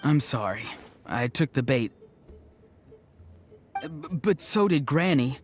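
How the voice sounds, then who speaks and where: An earnest young tribesman from a video game. His voice sits at an ordinary male pitch, casual and sincere, with faint music underneath. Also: lively intonation, takes it slowly. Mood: apologetic. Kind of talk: video game character acting.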